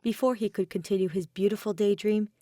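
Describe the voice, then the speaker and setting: A woman's voice: mid-range voice, fairly even intonation, fairly quick, dark tone, no room sound, clean studio sound. A woman narrating a piece of fan fiction as a scripted audio reading. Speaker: podfic narrator.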